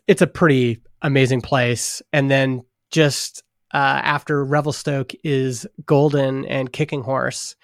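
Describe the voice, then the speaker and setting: A man's voice: mid-range voice, dry recording, clean studio sound. A man from northern Alberta with a Canadian accent, chatting casually as a guest on a podcast. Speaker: podcast guest, startup founder. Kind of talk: casual interview chat.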